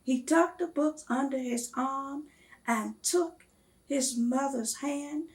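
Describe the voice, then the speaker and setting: A woman reading a storybook aloud to children in a deliberate, expressive manner. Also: high voice. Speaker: read-aloud guest reader.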